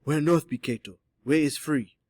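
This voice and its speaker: Guy doing a shy young woman in an audiobook, short halting questions, broken english, voice pitched up. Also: a little mellow, dry recording, clean studio sound, close to an everyday voice. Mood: questioning.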